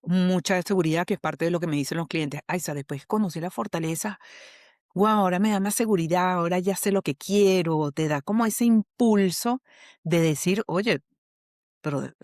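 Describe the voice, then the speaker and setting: A woman's voice: on the low side, normal speed, a little mellow, no echo, studio quality. A woman with a Venezuelan accent, an HR specialist and coach, explaining a model as a podcast guest in a patient, teacherly way, often checking that the listener follows. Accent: Venezuelan Spanish.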